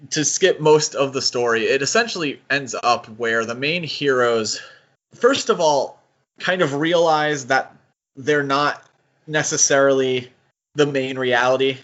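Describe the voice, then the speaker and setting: A man's voice: medium pitch, a bit bright, highs cut off. A man co-hosting a podcast in casual, analytical discussion, hedging and thinking aloud as he goes. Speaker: podcast co-host.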